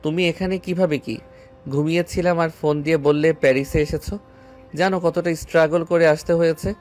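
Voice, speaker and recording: A man's voice: fairly high pitch, steady tone, fairly quick, a little mellow, close to an everyday voice. An actor playing a worried, exasperated young man in an audio story, scolding with a stern, agitated manner. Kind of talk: audio story dialogue acting. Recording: music under the voice. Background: background music.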